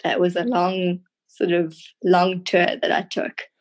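A woman's voice: lowish voice, monotone, measured pace. A thirty-year-old woman with a South African accent, answering interview questions casually and a little hesitantly. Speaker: podcast guest, trained tour guide.

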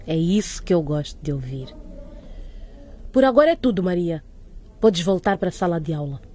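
A woman playing a demanding school headmistress in a radio soap, formal, measured and admonishing. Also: lowish voice, expressive, mellow. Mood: satisfied, dismissive. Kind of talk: scripted radio soap acting.

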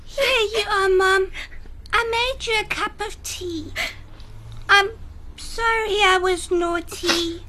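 Children's story role: excitable, earnest small boy, woman performer, very high-pitched, slow delivery, childlike and expressive. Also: a little hiss or hum, heavily acted. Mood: sheepish, earnest. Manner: expressive, childlike, plain-spoken. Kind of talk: children's storybook character acting.

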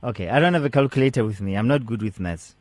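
A man's voice: mid-range voice, lively intonation, quick pace, no echo. A man hosting a radio talk show, easygoing and self-deprecating as he reads out contact numbers.

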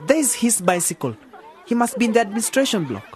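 A man's voice: sits high, big pitch swings, fast talker, darkish tone, a faint crowd. An actor playing a cheeky, sceptical young man in a radio drama, teasing and mock-dramatic. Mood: casual.

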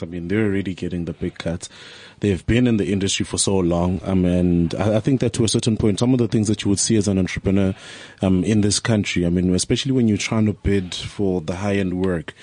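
Low husky guy grilling the panel, lots of i mean, kinda halting. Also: warm tone, dry recording. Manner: probing questions, hesitant "I mean" restarts. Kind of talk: panel discussion.

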